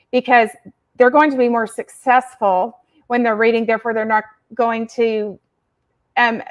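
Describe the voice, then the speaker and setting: A woman therapist explaining tips in a casual video chat, earnest and explanatory, recorded over a webcam. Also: fairly high pitch. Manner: earnest, explanatory, laughs easily. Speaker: therapist guest and regular. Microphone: video call webcam.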